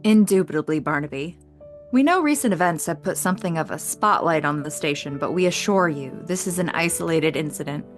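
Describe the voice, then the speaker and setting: Woman acting poised, low-voiced detective's partner. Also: lively intonation, a little fast, faint music behind. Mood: gracious, assured. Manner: formal, assured. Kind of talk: audio theater mystery acting.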